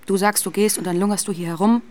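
A woman's voice: medium pitch, fairly even intonation, fast talker, a little mellow, close to an everyday voice. A woman acting a wounded young feminist activist in a radio drama, intense and accusing. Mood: furious, hurt.

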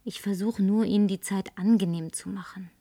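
A woman's voice: mid-range voice, fairly even intonation, normal speed, warm tone, no room sound. A woman dubbing a lonely, tipsy, flirtatious woman, talking in a coaxing, whispery way that at times turns demanding. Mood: flirty. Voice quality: breathy, whispery. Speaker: advertising agency woman. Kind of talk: German film dub.

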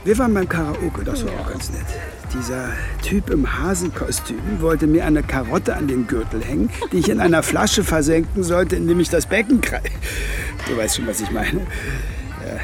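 A jovial father on holiday in a radio drama, with a fairly high voice that moves around a lot. He is chatty and teasing, and music plays clearly behind him. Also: big pitch swings, normal speed. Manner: playful, chatty. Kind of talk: naturalistic radio drama dialogue.

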